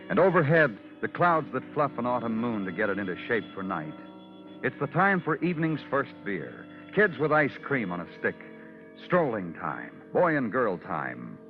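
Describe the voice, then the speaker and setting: An actor playing a world-weary homicide detective in old-time radio noir, speaking in clipped, poetic, deliberate phrases. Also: male voice, on the low side. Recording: muffled vintage radio recording with music.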